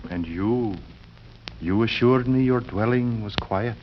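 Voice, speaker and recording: A man playing a pious, weary and mysterious gentleman lodger with a sharp temper, speaking formally and deliberately in 1940s radio drama style. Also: fairly low pitch. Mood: stern, agitated. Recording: muffled vintage 1940s radio recording.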